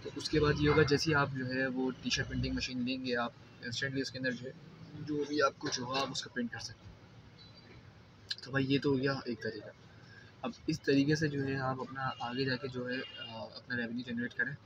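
A young man rattles off casual business advice in a fast, chatty stream with lots of fillers and restarts. His tone stays flat and even. The phone recording is muffled and distant, with loud noise in the background. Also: medium pitch. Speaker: host.

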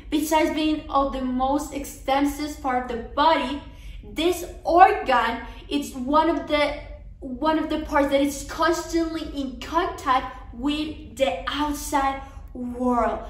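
A young woman, giving an earnest, encouraging devotional talk to a women's conference. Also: sits high. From Colombia. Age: young adult. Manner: earnest and encouraging, some restarts. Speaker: conference speaker. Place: living room, seated on a sofa. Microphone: camera across the room. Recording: roomy, from a distance.

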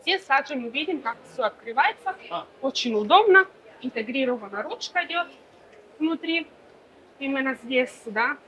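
A woman's voice: high-pitched, lively intonation, moderate pace, a bit bright, rough recording. A woman in her thirties presenting furniture at a fair stand, explaining fluently with many small fillers. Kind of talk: product demonstration.

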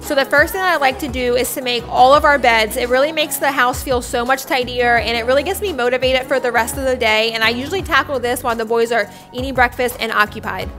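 A woman's voice: sits high, fairly even intonation, fast, few pauses. A young woman, a stay-at-home mom, narrating her cleaning routine in a chatty, upbeat voice-over. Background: faint background music.

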